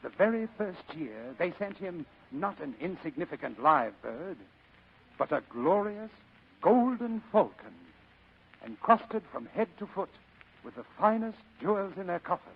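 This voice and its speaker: An actor playing a genteel, scheming fat crime boss in old radio drama style, pompous, florid and ornately courteous, with a husky voice. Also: male voice, high-pitched, big pitch swings, a little slow, heavily acted. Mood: grand, savoring. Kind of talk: 1940s-style radio acting. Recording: muffled old radio recording.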